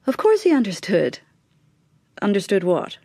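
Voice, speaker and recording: An actress playing a bitter, distracted, well-to-do middle-aged wife in a naturalistic radio drama, speaking in clipped, repeated phrases. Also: female voice, mid-range voice, huge pitch swings, moderate pace, creaky, warm tone, dry recording, studio quality, heavily acted. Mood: brittle, questioning.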